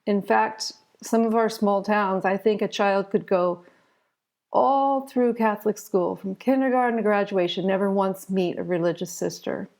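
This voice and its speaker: American woman with a creaky voice. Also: medium pitch, steady tone, normal speed, a little mellow. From United States. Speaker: interview guest, children's author. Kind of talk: conversational interview storytelling.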